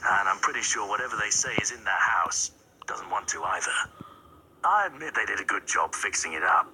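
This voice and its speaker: A man voicing an ominous middle-aged taxi driver in a hushed, warning whisper, over clear background noise in a game playthrough. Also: fairly low pitch, voice pushed down, natural voice.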